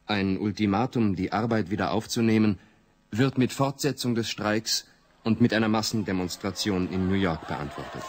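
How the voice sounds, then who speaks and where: An actor narrating a radio play as a matter-of-fact chronicler, in a factual report style. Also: male voice, fairly low pitch, moderate pace, a faint crowd, close to an everyday voice. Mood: sober.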